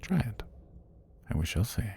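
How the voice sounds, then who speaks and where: An ancient, sinister serpent god, played by a man, speaks in a dark, very husky murmur for a fantasy ASMR roleplay. He takes his time, with formal and deliberate phrasing that swoops and dips. He sounds languid, amused and quietly menacing. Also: mid-range voice, big pitch swings, full character voice. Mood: languid, calm. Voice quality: husky, breathy, gravelly.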